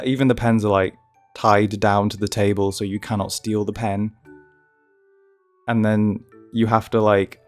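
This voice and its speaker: A man's voice: lowish voice, darkish tone. A man with a cold, chatting casually and ranting a little while commenting on a video game build. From United Kingdom. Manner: chatty, ranting, self-deprecating asides. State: has a cold. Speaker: gaming channel host.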